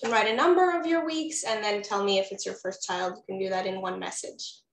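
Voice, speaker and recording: A woman's voice: mid-range voice, fairly quick, roomy sound, slight background noise, low-quality recording. A woman from Israel, a postpartum doula, giving a webinar presentation in an encouraging way that speaks straight to the audience over a video call. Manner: warm, encouraging, addresses audience directly.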